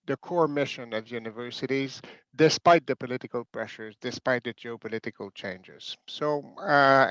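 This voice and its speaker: A middle-aged university president from Canada speaking on a panel, measured, with frequent fillers and restarts. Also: male voice, medium pitch, smooth, no echo, highs cut off. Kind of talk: panel talk.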